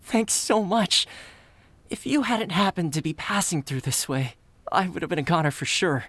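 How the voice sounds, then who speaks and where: A man's voice: sits high, animated, normal speed, fairly bright tone, no room sound. A man voicing a worried, friendly young delivery man in a video game, chatty and earnest. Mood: relieved, worried. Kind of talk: anime video game voice acting. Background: game music.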